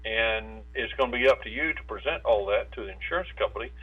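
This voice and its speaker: A man with an American accent giving preparedness advice in a phone interview, haltingly, repeating words and stumbling mid-phrase. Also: lowish voice, husky, soft background music. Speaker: state insurance director, interviewed guest. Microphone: telephone. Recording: tinny narrow phone line with hum.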